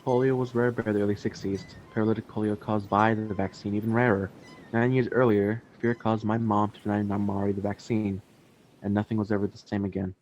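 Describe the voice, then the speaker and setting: A man's voice: flat delivery, a little fast, a little mellow, close to an everyday voice. A man playing a younger brother recalling his family's past in a scripted radio play. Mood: matter-of-fact. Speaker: actor in a college radio play. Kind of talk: scripted radio drama narration. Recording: muffled with faint rumbling noise.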